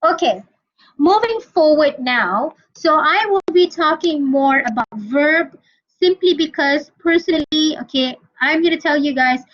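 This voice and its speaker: A Malaysian woman teaching a grammar lesson in a high voice, taking her time over each point. Her recording is muffled and a little lo-fi. She keeps pausing to check that the class is following. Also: animated, fairly bright tone. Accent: Malaysian English. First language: not English. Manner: explains step by step, often checks with "Okay?". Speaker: university English lecturer.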